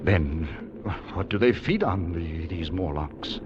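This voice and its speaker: An actor playing a weary, fearful time traveller, narrating gravely and deliberately in an old-time radio drama. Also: male voice, deep voice, lively intonation, background noise. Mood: weary, dread. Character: Fowler, weary fearful male time traveller recounting his ordeal. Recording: muffled old radio recording with music behind.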